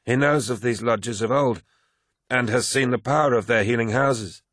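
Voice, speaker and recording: A man's voice: medium pitch, normal speed, a bit bright, no echo, pitched above the performer's own voice, lightly acted. A man voicing a defiant, sneering master of a warrior lodge in an audiobook, blunt and insistent.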